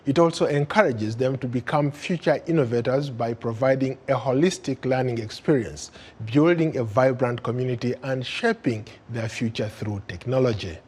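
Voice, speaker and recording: A man working as a news correspondent, with a husky, rough-edged voice of average pitch. He delivers scripted technology copy. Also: normal speed, darkish tone.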